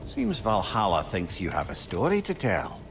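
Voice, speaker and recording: A man's voice: fairly low pitch, wildly swooping pitch, moderate pace, a little hiss or hum. An actor playing a chatty, wry, sentimental male companion in a video game, telling stories in a wordy, teasing way. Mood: curious. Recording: loud game ambience behind.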